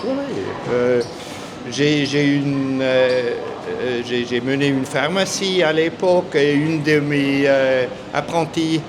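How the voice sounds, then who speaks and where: A man's voice: medium pitch, steady tone, takes it slowly. An older man with a Swiss German accent answers a street interview hesitantly, searching for words in a language that is not his first. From Switzerland. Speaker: passer-by being interviewed. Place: outdoors on a city street. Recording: noisy outdoor street with traffic.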